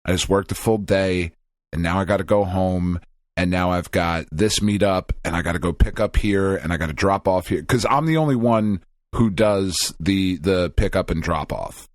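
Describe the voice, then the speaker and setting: A man's voice: low voice, moderate pace, dry recording, studio quality. A man co-hosting a casual podcast, rambling and venting with lots of swearing and restarts. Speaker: podcast co-host.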